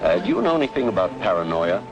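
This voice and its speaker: A deep-voiced, urbane doctor. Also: male voice, sing-song, muffled recording. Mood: calm, probing. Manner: measured, probing, conversational. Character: urbane, inquisitive male doctor, calm and wry. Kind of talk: old film noir drama acting.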